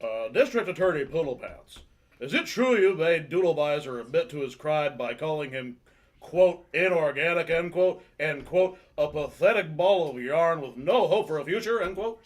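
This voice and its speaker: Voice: mid-range voice, expressive, close to an everyday voice. A performer reading a pushy news reporter firing prying questions in a comedic table read. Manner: rapid-fire, prying questions.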